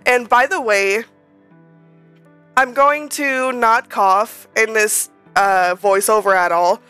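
Woman, rambling vlog voice-over, a bit nasal from a cold, smooth clear tone, faint music behind. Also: a little high, slow pace, pushed and bright. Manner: chatty and rambling, many ums and restarts. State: slightly nasal, recovering from a cold. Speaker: Sims speed-build creator. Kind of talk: casual voice-over commentary.

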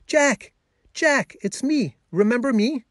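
A man's voice: extremely high pitch, expressive, takes it slowly, a bit bright, dry recording, clean studio sound, pitched above the performer's own voice, exaggerated voice. A man voicing an eager, kind-hearted young male pet dog in a children's story, earnest and exclamatory. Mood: excited.

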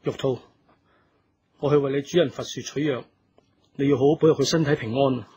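An actor playing a loyal, earnest younger sworn brother in a radio drama. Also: male voice, mid-range voice, steady tone, a little fast, a little mellow, slightly rough. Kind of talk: Cantonese radio drama acting.

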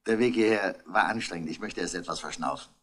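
A man playing a calm, shrewd French police inspector in a 1960s German radio crime drama, courteous, measured and probing. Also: low-pitched, husky. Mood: relaxed, courteous.

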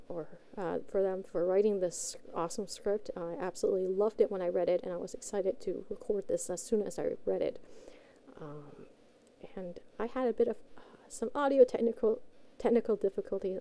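A woman's voice: mid-range voice, gravelly, warm tone. A woman hosting her own audio channel, chatting casually to listeners in a rambling, informal, self-effacing way. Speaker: amateur voice actor, channel host.

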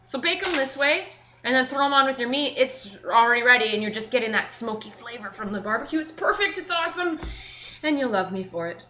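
A young woman with a fairly high voice hosts an informal cooking demo, chatting and thinking aloud as she works. She is recorded in a roomy home kitchen by a camera a few feet away, with clear noise and clatter behind her. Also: lively intonation, fairly quick, sharp tone. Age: young adult. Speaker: cooking show host. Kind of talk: casual cooking demonstration to camera. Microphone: camera mic a few feet away.

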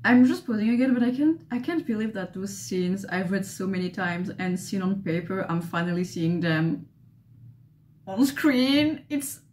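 A woman's voice: mid-range voice, lively intonation, moderate pace, a little mellow, soft background music. Gushing young woman reacting on webcam. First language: not English. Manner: gushing, squealing, swearing. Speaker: trailer reactor. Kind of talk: excited reaction commentary. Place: living room with bookshelves. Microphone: webcam at desk, headphones on.

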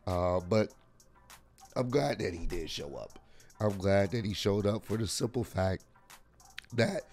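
A man in his thirties with a hoarse, worn-out voice, casually reviewing a wrestling event from a desk microphone at home. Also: on the low side, a little mellow. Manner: casual, rambling with frequent fillers. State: hoarse, lost voice. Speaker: wrestling vlogger. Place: home room with lit shoe shelves. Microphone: desk mic on arm.

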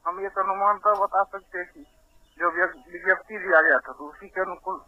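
A man's voice: sits high, normal speed, dry recording. A man on a recorded phone call, answering queries as an official, hesitant and repeating himself. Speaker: exam board helpline official. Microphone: telephone.

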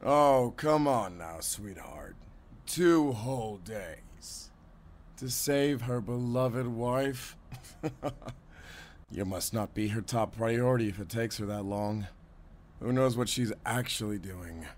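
A man's voice: fairly low pitch, takes it slowly, slight background noise. A man playing a mocking, condescending, swaggering kidnapper henchman in an audio roleplay. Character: taunting, cocky male kidnapper henchman guarding a captive.

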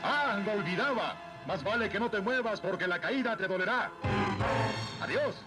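An actor voicing a muscular, confident, wry young hero in a cartoon dub, assured, with a Latin American Spanish accent. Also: male voice, high-pitched, expressive, moderate pace. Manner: heroic, assured. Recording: loud music under the voice.

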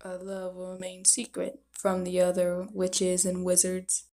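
A woman plays a lovestruck wizard of darkness in an animated fan dub. He speaks slowly and softly, teasing and tender with his sweetheart. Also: fairly low pitch. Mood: wistful, loving.

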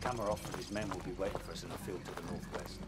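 Actor as deep-voiced, tough British captain. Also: male voice, steady tone, very fast, heavy background noise, close to an everyday voice. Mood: terse, dry. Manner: terse, commanding, dry. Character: tough, dry British special forces captain. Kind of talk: military briefing, video game acting. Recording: loud music over dialogue.

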